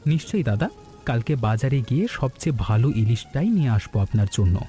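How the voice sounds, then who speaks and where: Guy doing earnest hopeful village hotel owner, cartoon story, very dark mellow voice, music behind. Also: medium pitch, expressive, quick pace, lightly acted. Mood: eager, thoughtful. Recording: background music throughout.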